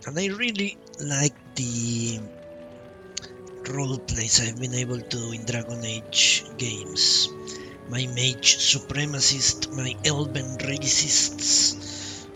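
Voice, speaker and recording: Unhurried male game streamer over music. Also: medium pitch, steady tone. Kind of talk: casual live commentary while roleplaying a game.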